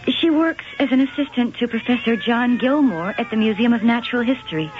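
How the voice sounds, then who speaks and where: An actress playing an anxious young chorus-line dancer with an agitated, pleading manner in a 1980 radio drama. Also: female voice, a little high, fairly quick, natural voice. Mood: uneasy, polite. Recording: dull noisy old radio broadcast.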